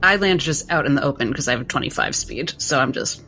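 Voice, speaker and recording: Low-pitched woman in casual game talk. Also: animated, quick pace, pushed and bright, soft background music. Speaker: tabletop game player.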